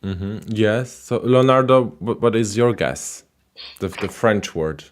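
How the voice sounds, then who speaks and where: A man's voice: fairly low pitch, lively intonation, slow pace. A man hosting a live language show over a video call, moderating guests with frequent "mm-hmm"s. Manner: friendly moderating, many "mm-hmm"s. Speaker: host.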